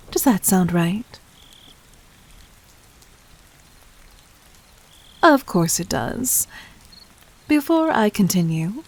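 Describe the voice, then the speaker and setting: A woman playing a poised demon queen in an audio roleplay, speaking formally to the listener with teasing condescension and breathy, whispered touches. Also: medium pitch, a little mellow. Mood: knowing, composed, then commanding, composed.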